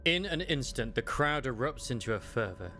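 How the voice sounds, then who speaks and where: A man narrates a scripted fandub story in a medium-pitched voice. His intonation swings widely as he goes. Music runs clearly underneath. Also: very animated, moderate pace.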